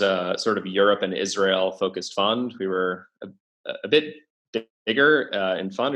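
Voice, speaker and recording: A man's voice: low-pitched, creaky, fairly bright tone. A young man speaking as a podcast guest in casual interview talk, hesitant, with many fillers and restarts. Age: young adult. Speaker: podcast guest, venture investor.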